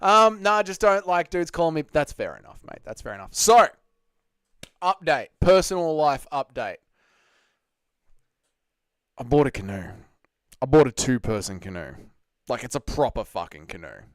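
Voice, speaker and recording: A young Australian man hosting a live stream, chatting with viewers in excitable, slangy, sweary banter. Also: a little high, normal speed, pauses often, clean tone, no room sound, clean studio sound. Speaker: streamer host.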